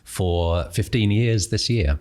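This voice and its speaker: A middle-aged man from Northern Ireland with a British accent, a podcast guest who speaks thoughtfully with frequent pauses and restarts. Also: lowish voice, a little mellow. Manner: thoughtful, hesitant, many ums and restarts. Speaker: guest, impact investor. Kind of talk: podcast interview.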